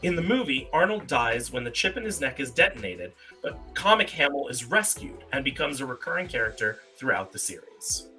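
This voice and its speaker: Very bright-voiced man hosting a quiz. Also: mid-range voice, sing-song, a little fast, hardly any pauses. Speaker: quiz game host. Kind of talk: casual live-stream quiz hosting with read-out questions. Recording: music behind the voice. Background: music.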